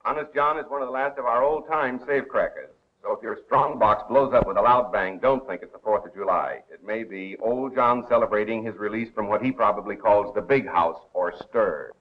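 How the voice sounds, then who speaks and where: Actor as suave american radio crime guy, 1930s film, measured and wry, old muffled lo-fi sound. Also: lowish voice, lightly acted. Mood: wry, newsy. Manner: polished, measured announcer delivery. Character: suave, wry male radio crime commentator and amateur sleuth.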